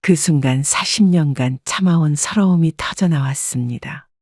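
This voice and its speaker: Female audiobook narrator, even and measured, dry studio read. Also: low voice, dry recording. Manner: steady, even-paced storytelling. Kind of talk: scripted first-person story narration.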